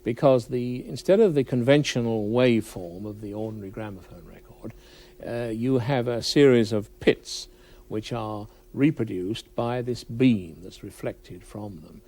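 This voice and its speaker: Mellow-voiced man explaining technology. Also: medium pitch, unhurried. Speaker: audio expert being interviewed.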